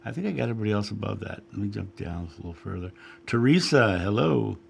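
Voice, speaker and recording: A man hosting a spiritual livestream, chatting casually and greeting viewers by name, his voice a little raspy after a long week. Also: on the low side, lively intonation, fast. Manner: friendly, chatty, reads names from chat with frequent fillers. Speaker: livestream host, spiritual guide. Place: home studio.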